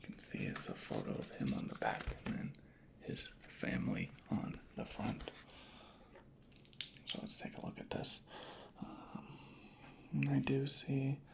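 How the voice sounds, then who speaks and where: Soft whispery asmr person, super crackly fry, takes their time, right up on the mic. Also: medium pitch. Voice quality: breathy, whispery, creaky soft voice. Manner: unhurried, methodical, murmuring. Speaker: ASMR creator playing a record inspector. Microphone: close microphone.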